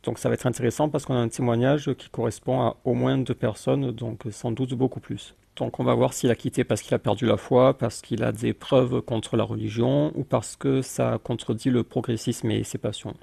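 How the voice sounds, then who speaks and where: A man's voice: mid-range voice, little pitch movement, moderate pace, gravelly, few pauses, warm tone, husky, no echo. A man commenting on a video he plays, dismissive and mocking, often cutting in over the clip. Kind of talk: casual reaction commentary.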